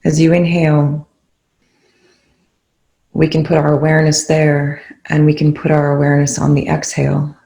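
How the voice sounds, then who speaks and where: Calm meditation guide, very even and creaky. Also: mid-range voice, normal speed, pauses often. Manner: calm, soothing, gently spaced phrases. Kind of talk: guided meditation, soft instruction. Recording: roomy, echoing indoor sound.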